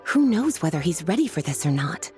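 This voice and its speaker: A woman's voice: low voice, sing-song, fairly quick, a little mellow, soft background music. An actress playing a languid, flirtatious female mentor who calls others cuties and sweetie. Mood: teasing. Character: sultry, teasing female mentor and librarian.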